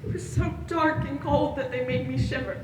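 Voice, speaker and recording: A woman's voice: high-pitched, steady tone, normal speed, lightly acted. A woman acting a traumatised daughter who recounts a hostage ordeal in a projected, dramatic stage monologue. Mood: frightened. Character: traumatised daughter recounting being held hostage with her father. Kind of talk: one-act stage play monologue. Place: indoor stage in a large reverberant hall. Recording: distant echoey hall, some background noise.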